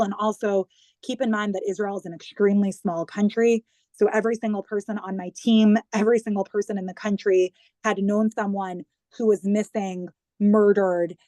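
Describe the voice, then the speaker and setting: A woman's voice: medium pitch, darkish tone, dry recording. American woman on a video call, earnest and fluent, dry close sound, from new york. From New York, United States. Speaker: guest, government digital communications head.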